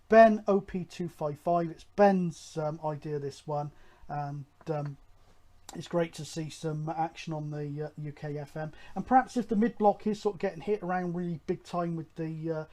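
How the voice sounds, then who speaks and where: A man presenting a hobby show, talking casually and in a halting, rambling, informal way to viewers. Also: a little high, warm tone. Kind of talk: casual update and announcements to viewers.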